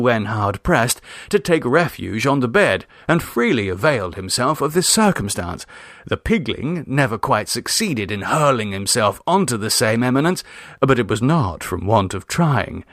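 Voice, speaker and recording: A man reading a literary story in a measured, wry, articulate way. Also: medium pitch, fairly bright tone, dry recording, studio quality. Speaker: story reader. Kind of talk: literary audiobook narration.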